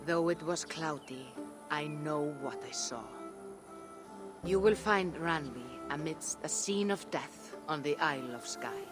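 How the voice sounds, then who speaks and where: A woman playing a grave, mystical Norse seer in a video game, solemn, deliberate and quietly insistent. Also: low-pitched. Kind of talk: video game character acting. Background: game music.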